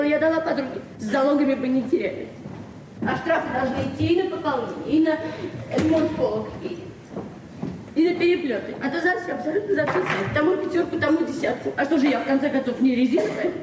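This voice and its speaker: An actress playing a frank, proud, hard-pressed librarian in her forties in a Soviet radio drama, with curt retorts and earnest outpourings. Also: sits high. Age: 40s. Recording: noisy, distant, echoey old radio recording.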